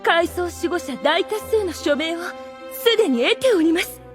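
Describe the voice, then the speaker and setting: A woman's voice: very high-pitched, lively intonation, normal speed, music in the background. A woman playing a devoted, scheming royal retainer with refined, formal speech in an anime audio drama. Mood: eager, persuasive.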